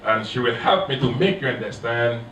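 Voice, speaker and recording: Pastor in an echoey hall. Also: male voice, medium pitch, animated, a bit bright, slight background noise. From Haiti. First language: Haitian Creole. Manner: speaks in short phrases with pauses for translation, non-native grammar. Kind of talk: sermon delivered through an interpreter. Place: church hall. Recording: echoey church hall, mic off at a distance.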